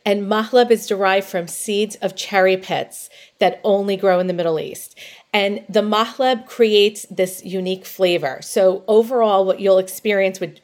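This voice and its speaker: A middle-aged woman calling in to a podcast advice line, describing her food business in a poised, articulate way. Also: mid-range voice. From New Jersey. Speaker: caller. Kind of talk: call-in podcast advice segment.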